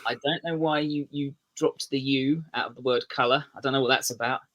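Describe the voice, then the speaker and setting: English man from the southeast, guest on a chat show, rambling and self-mocking, bright-toned delivery. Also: medium pitch, fairly even intonation, a little fast, muffled recording. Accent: English, southeast England. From England. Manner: rambling, restarts sentences, self-deprecating humour. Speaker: podcast guest, metal musician. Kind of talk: casual podcast chat.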